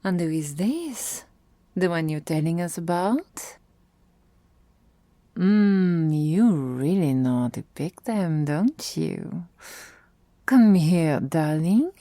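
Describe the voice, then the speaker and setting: A woman's voice: on the low side, lively intonation, drawn-out words, long pauses, dark tone, no room sound. A woman voicing a playful, flirtatious, eccentric vampire sister in an ASMR roleplay, with a teasing, theatrical delivery.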